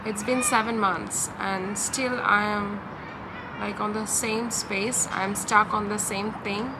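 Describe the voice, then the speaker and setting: A young woman speaks with a Bangladeshi accent at a middling pitch, confiding in a halting, personal way as if to viewers she trusts. She is recorded outside in a park, with clear ambient and vehicle noise around her voice. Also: steady tone. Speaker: vlogger. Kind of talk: personal talk-through to camera. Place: outdoors in a park. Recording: outdoor park noise, distant traffic.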